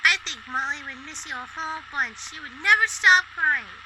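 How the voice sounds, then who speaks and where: A woman playing an excited, sweet little girl in an old-time radio drama, with an eager, exclamatory delivery. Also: sits high, moderate pace. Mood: sweetly pleading. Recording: very noisy background.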